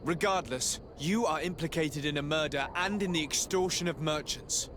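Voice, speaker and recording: A man's voice: fairly high pitch, normal speed, noisy background, close to an everyday voice. A man acting as a stern emissary of a merchant state in a video game, speaking formally and with measure.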